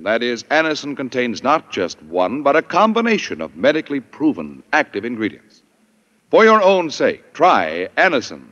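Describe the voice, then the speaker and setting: A man's voice: mid-range voice, a bit bright. A man announcing a scripted 1950s radio commercial in a formal, emphatic manner. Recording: dull, echoey vintage radio broadcast.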